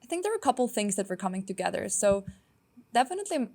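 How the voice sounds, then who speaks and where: A woman's voice: medium pitch, big pitch swings, a little mellow. Upbeat young woman from Estonia. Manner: upbeat, quick, many "like" fillers. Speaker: startup founder and guest. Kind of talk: casual interview answers.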